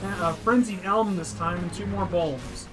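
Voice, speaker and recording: Man, higher-pitched voice, grumbling gamer commentary, casual, profane, loud music behind him. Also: unhurried. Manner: blunt, sweary, grumbling. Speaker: host of a descriptive game playthrough. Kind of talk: casual gameplay commentary with description. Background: game music.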